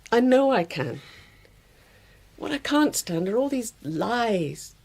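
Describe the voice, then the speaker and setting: An actress playing a hurt, proud middle-aged British wife in a radio drama, accusing and firm, with clipped, emphatic delivery. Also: female voice, fairly high pitch, sing-song, slow pace, vocal fry, a little mellow, exaggerated voice. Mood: hurt, angry.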